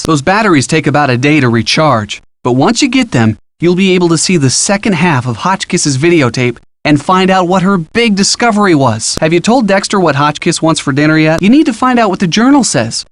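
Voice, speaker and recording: An eager young male sidekick from a detective video game. His voice sits fairly high, and he gives earnest, encouraging hints, clearly scripted, in a dry, close recording. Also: dry recording. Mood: urgent, excited. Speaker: hint-giving game character.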